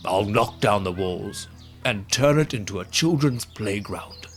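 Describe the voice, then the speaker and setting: A man voicing a gruff, selfish but soft-hearted giant from a storybook, with music behind him. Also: expressive, measured pace. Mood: remorseful. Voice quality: great booming voice. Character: gruff selfish male giant, booming, later tender-hearted. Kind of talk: storybook character acting.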